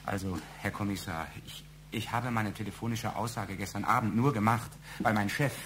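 A man acting in a German audio drama as a fearful, indignant family man under suspicion, halting and repeating his words. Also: lowish voice, fairly bright tone, a little hiss or hum, close to an everyday voice. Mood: flustered, defensive. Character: flustered, fearful, indignant male murder suspect and family man.

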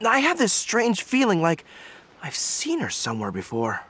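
A man's voice: high voice, huge pitch swings, normal speed, very noisy. A voice actor voicing a polite, curious young man who speaks thoughtfully and earnestly, in video game voice acting.

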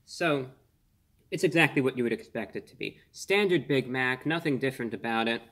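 A man in his twenties hosting a casual food review on camera, speaking in an earnest, measured way with slightly formal phrasing. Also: mid-range voice, a bit bright. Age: 20s. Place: small indoor room.

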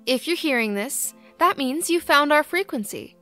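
A woman's voice: high-pitched, animated, moderate pace, bright, forceful tone, faint music behind. A woman playing a folksy, cryptic small-town radio host, reading a scripted late-night promo with a teasingly ominous manner. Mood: folksy welcome. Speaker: host of a fictional community radio show.

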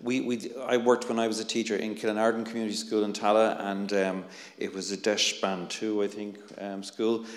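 A man's voice: on the low side, little pitch movement, moderate pace. A middle-aged Irish man on a conference panel, earnestly talking on a handheld mic with many restarts and fillers. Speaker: panelist, anti-bullying researcher. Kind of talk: panel discussion. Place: conference hall, seated on stage. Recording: echoey hall with long reverb.

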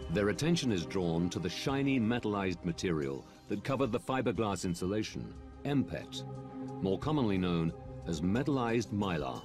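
A man reading scripted documentary narration in a measured, grave manner. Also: low-pitched, big pitch swings. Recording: music bed behind narration.

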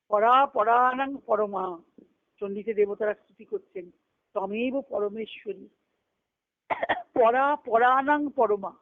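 A woman's voice: fairly high pitch, no echo. A woman giving a devotional discourse as a spiritual teacher, reflective and explanatory, posing questions and answering them. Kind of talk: devotional discourse with recited scripture. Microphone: phone or conference call. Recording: narrow, tinny phone conference line.

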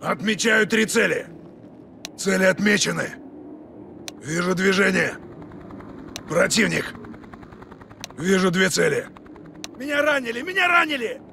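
A man voicing a gruff, commanding special forces soldier giving terse, urgent radio commands in a video game. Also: sits high, animated, unhurried, pauses often, sharp tone, a little hiss or hum, exaggerated voice. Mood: wounded, alarmed. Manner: terse, urgent, barked military callouts.

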